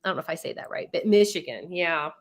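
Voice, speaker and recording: A woman's voice: deep voice, animated, fast. A woman with a congested head cold hosting a casual live stream, chatty and rambling as she reads out viewer comments. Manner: chatty, rambling, laughs often.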